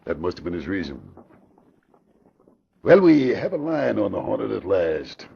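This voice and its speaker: Husky, animated police inspector. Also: male voice, deep voice, normal speed, full character voice. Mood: brisk, confident. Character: brisk, blustery male police inspector. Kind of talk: 1940s radio drama acting. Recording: muffled old radio recording.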